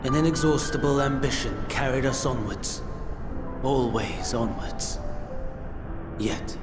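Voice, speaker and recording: An actor playing a bitter, coldly obsessive imperial scientist in a video game, declaiming in a brooding, deliberate way. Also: male voice, medium pitch, natural voice. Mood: bitter, proud. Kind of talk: dramatic video game monologue. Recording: echoing room, music behind.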